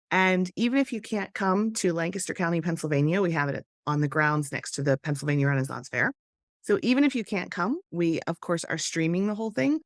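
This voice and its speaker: A hoarse woman hosting a history podcast, talking casually and with enthusiasm straight to her viewers about her topic. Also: deep voice, dry recording, studio quality. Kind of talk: casual educational talk to camera.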